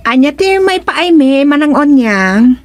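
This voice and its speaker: A woman's voice: high voice, very animated, unhurried, music in the background. A woman playing a nosy, concerned village councillor in a radio comedy, exclaiming and questioning in an Ilocano accent. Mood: concerned, curious. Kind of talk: radio serial drama-comedy acting.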